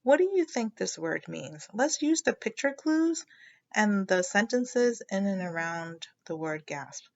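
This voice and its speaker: A woman teacher reading a picture book aloud to children, explaining expressively and pausing to check understanding with questions. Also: mid-range voice, expressive, muffled recording.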